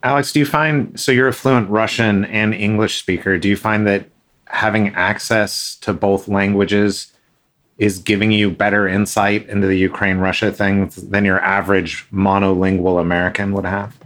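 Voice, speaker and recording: A man's voice: lowish voice, level tone, normal speed, fairly bright tone. A man hosting a podcast, introducing a guest and chatting in a friendly way, with many fillers and restarts. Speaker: podcast host. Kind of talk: casual interview chat.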